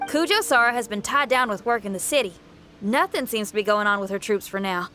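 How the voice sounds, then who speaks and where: A woman's voice: mid-range voice, fairly quick, music in the background, lightly acted. A woman voicing a friendly young female adventurer in an anime game, conversational and matter-of-fact. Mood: relieved, informative.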